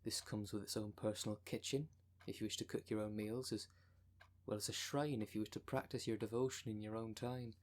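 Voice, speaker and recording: Guy voicing a smooth con woman cult leader, lowish voice, polished salesy pitch, asmr roleplay. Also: steady tone, a little fast, close to an everyday voice. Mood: businesslike sales pitch. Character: smooth-talking con-artist female minister of demon cult. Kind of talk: ASMR roleplay audio drama.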